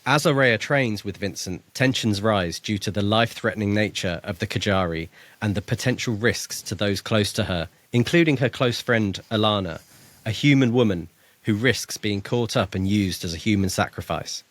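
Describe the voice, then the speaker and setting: Male podcast co-host, lower register, dry close sound, casual book discussion. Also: moderate pace, no echo.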